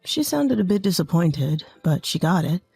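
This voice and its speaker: Storytime role: young married man played by a woman, lower-pitched, plain-spoken, matter-of-fact. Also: sing-song, moderate pace, creaky, a little mellow, breathy edge, dry recording, soft background music, heavily acted. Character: young husband, plain-spoken adult son.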